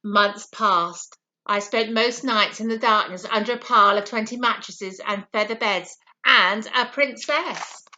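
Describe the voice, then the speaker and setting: A woman teacher reading a story to children in an expressive, encouraging way. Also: mid-range voice, measured pace, hardly any pauses, lo-fi sound. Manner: encouraging, animated.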